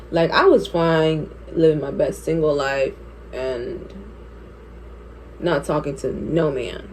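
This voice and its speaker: A woman's voice: low voice, slow pace, pauses often, a little mellow, a little hiss or hum, rough recording. A woman in her twenties telling a personal story while doing her makeup, chatty and candid, laughing often. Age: 20s. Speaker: beauty vlogger.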